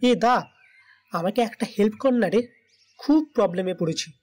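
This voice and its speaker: An actor as a cheeky younger male cousin in an audio drama. His voice is very high for a man. He talks like a family tease. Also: expressive, moderate pace, darkish tone. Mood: pleading, sheepish. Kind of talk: audio story acting.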